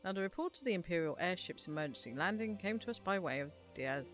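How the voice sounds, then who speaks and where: A woman's voice: lowish voice, lively intonation, quick pace, fairly bright tone, soft background music. A woman reading aloud the part of a courteous, formal nobleman in a fantasy game.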